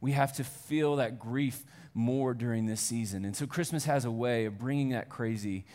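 Man, pastor, casual sermon voice that builds to fervent questions, medium pitch. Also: moderate pace, darkish tone. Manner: conversational, self-deprecating, rising to earnest fervor. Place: church.

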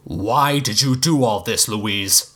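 A man's voice: fairly low pitch, slow, bright, forceful tone. A harried burger-cook father, stammering and pleading as things spin out of hand. A man voices him while reading a story aloud, with an exasperated, flustered edge.

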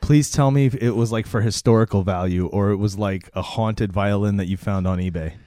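A man's voice: on the low side, a little fast, warm tone. Guy hosting a podcast w friends, jokey banter, steers the chat, dry sound no echo. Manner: joking, prompting the others. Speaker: podcast co-host. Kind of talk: casual banter.

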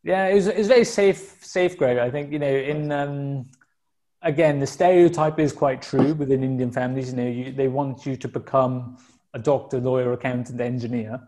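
A man's voice: mid-range voice, normal speed, a little mellow. A man in his thirties from South Wales with a Welsh accent, a guest talking openly and reflectively in a casual interview, with frequent fillers and restarts. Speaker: podcast guest.

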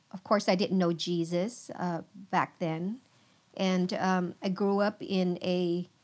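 A middle-aged woman from Vietnam tells her story as a podcast guest. She speaks slowly and earnestly, pausing often. Her voice sits fairly low. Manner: slow, halting, earnest speech with many pauses. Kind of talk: testimony in a podcast interview.

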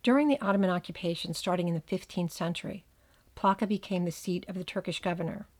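A woman's voice: lowish voice, fast, a little mellow. A woman with a New Jersey accent hosting a podcast, giving scripted narration with wry, dry asides. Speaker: podcast host.

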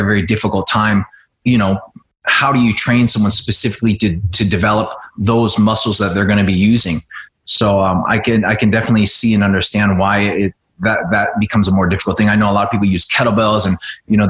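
Low-voiced man, easygoing, in a roomy space. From United States. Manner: relaxed, explanatory, frequent fillers. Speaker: jiu-jitsu guest. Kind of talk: casual podcast interview. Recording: roomy echo.

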